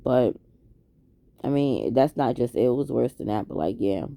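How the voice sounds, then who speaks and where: A woman's voice: rumbling low, animated, measured pace, creaky, warm tone, no echo. A woman around forty, talking to camera in a candid, hesitant, reflective way, with many ums and restarts. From Queens, New York. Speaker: vlogger. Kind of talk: candid late-night confessional talk to camera.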